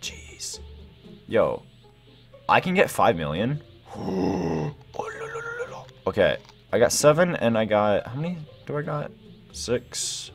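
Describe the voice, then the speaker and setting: A man's voice: fairly low pitch, gravelly. Young guy doing gameplay commentary, voice swings all over, slangy, music behind him. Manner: excitable, slangy, thinking aloud. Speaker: gaming video host.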